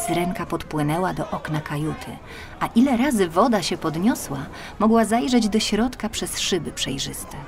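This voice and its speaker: A woman narrating a children's fairy tale audiobook expressively and dramatically, moving between hushed and swelling phrases. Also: lowish voice, slight background noise. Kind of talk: expressive scripted children's storytelling. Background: music.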